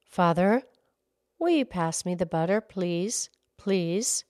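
A woman's voice: on the low side, lively intonation, takes it slowly, a little mellow, no echo, clean studio sound. A woman voicing a polite boy of about ten, asking for things earnestly.

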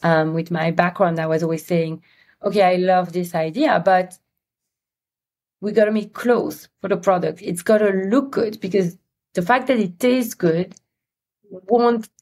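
A woman with a Belgian accent, a guest in an interview, talking in a friendly storytelling way with frequent pauses. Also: on the low side, fairly even intonation, a little mellow. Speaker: guest, company CEO. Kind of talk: interview conversation.

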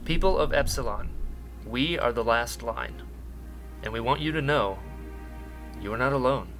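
An actor playing a nervous, earnest young male rebel radio host in a sci-fi radio drama, halting and flustered. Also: mid-range voice, expressive, music in the background, close to an everyday voice. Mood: solemn, rallying. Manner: halting and flustered, stammering, turning solemn in speeches.

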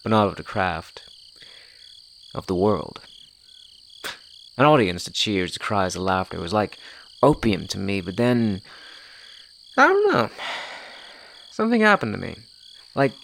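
An actor playing an infamous jester in an audio drama, brooding and mocking, with a merry facade over bitter, nihilistic darkness. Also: male voice, gravelly, slight background noise, full character voice. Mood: bewildered, reminiscing. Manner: brooding, mocking, with swings in loudness and pitch. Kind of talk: theatrical dramatic monologue.

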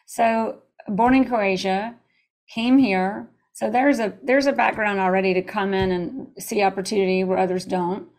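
Gravelly-voiced woman hosting a podcast. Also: medium pitch, steady tone, moderate pace, darkish tone. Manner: enthusiastic, chatty questioning. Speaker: podcast host. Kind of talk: casual interview.

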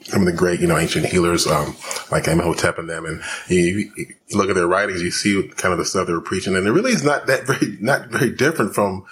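A man from Houston, Texas, a physician guest talking fast with many fillers in a podcast interview. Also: sits low, fairly bright tone, a little hiss or hum. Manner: rapid, many fillers and restarts.

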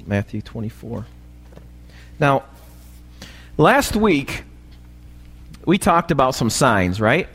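A male pastor with an American accent and a slightly raspy voice, preaching a sermon and often checking in with short questions to the congregation. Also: mid-range voice, sing-song, takes it slowly, long pauses, a bit bright. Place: church, preaching live. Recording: live church recording, long hall reverb.

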